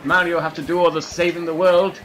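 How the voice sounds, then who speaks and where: Guy doing a silly fake french accent over a kart race, high bright voice, faint music behind. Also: fairly quick. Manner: excitable, hammy, exclaiming and laughing at his own jokes. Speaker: let's play gamer. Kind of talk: comic gameplay commentary in a put-on accent.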